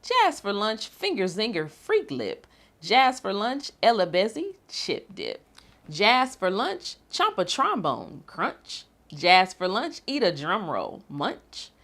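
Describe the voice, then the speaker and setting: Lively, animated woman reading aloud. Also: mid-range voice, slow pace. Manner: rhythmic, expressive, bouncy delivery. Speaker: host reading a children's picture book aloud. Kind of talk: animated storybook read-aloud.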